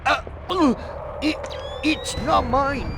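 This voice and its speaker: An actor playing a timid, frightened guard who speaks haltingly in a video game. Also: male voice, very high-pitched, lively intonation, vocal fry, background noise, heavily acted. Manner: halting, hesitant.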